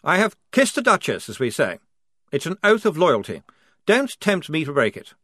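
A man's voice: a little high, wildly swooping pitch, moderate pace, a bit bright, no room sound, studio quality, voice pushed up, full character voice. A man voicing a fussy, earnest young army lieutenant who talks in a stiff, formal, speechmaking way. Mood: resolute. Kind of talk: audiobook character voice.

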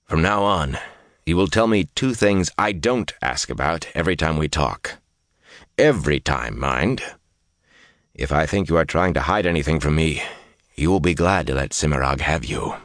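A hard young lord from a fantasy audiobook, acted out by a man, with a very deep voice in a dry, close recording. He is cold and commanding, handing out terse orders and threats. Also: expressive, moderate pace, fairly bright tone, no room sound. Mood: cold, threatening.

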